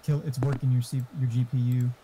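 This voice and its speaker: Male gamer, mid-pitched and very mellow, casual opinionated voice-chat talk, muffled with slight background noise and typing. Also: little pitch movement, a little fast. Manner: informal, swearing, opinionated. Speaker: gamer giving computer hardware advice.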